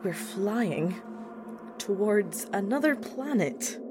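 A woman's voice: medium pitch, expressive, slow, noisy background. A woman playing a jittery, queasy abductee. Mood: anxious. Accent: British. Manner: anxious, sarcastic. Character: anxious nauseous young woman named Jenny, abductee. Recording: music score underneath.

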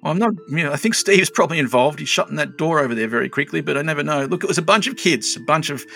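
Give me a man playing a flustered, oversharing town crier with a bright, gravelly voice. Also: fairly high pitch, expressive, very fast, soft background music. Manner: rambling, flustered, comic oversharing. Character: flustered middle-aged male town crier, abandoned husband. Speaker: game master voicing a townsperson. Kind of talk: improvised tabletop roleplay.

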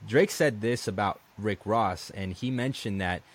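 Man, podcast host, from Colombia, deep-ish voice, casual conversational. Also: a little slow. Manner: conversational, many restarts and "man". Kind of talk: casual interview chat.

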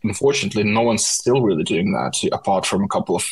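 A young man from Estonia whose first language is Russian, giving an interview over a video call and speaking haltingly, with many fillers and restarts. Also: lowish voice, slightly rough, no room sound. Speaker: guest. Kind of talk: casual interview talk.

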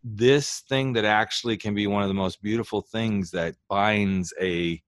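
A man hosting an interview who works as a therapist, speaking in a measured, reflective way. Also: fairly low pitch, steady tone, dry recording, studio quality. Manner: measured and reflective, builds long thoughts. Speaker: host, therapist. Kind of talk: conversational interview.

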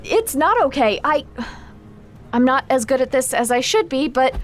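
An actress playing a caring but exacting magic trainer in a naturalistic audio drama, frank and instructive in manner. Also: female voice, high voice, expressive, moderate pace, soft background music. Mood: apologetic, alarmed. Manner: frank, instructive, gently concerned.

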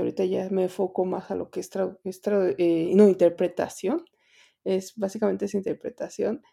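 A woman's voice: medium pitch, creaky, mellow. A middle-aged Mexican woman, an interpreter, answering interview questions in a rambling way with many fillers and restarts. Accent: Mexican Spanish. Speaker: interpreter and translator guest.

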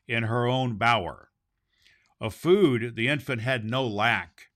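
A man reads a storybook aloud as its host. His delivery is expressive, in the way of a storyteller, with occasional small stumbles over the words. His pitch sits in an ordinary middle range for a man. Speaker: storybook reader and podcast host. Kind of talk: storybook narration read aloud.

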